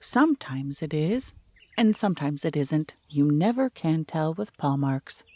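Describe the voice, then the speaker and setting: A woman playing a slow-witted, earnest male toy bear in a storybook, musing in a deliberate, puzzled way. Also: low-pitched, expressive, darkish tone, no room sound.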